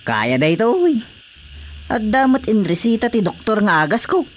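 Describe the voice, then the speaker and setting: A man's voice: high-pitched, wildly swooping pitch, moderate pace. Man as stubborn, carefree village councilor. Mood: dismissive. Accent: northern Philippine. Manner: dismissive, protesting. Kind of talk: radio drama comedy acting. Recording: faint cricket-like background noise.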